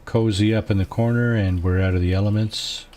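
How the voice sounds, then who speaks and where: A man with a low, soft voice, quietly talking through a video game at an unhurried pace, musing aloud with many fillers. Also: fairly even intonation, a little hiss or hum. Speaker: gameplay streamer. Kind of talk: casual let's-play commentary.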